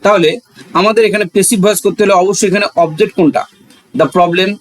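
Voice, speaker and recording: A man's voice: high-pitched, fairly even intonation, fast, no echo. A man with a Bangladeshi accent teaching in an explanatory, didactic way, asking rhetorical questions and repeating key phrases.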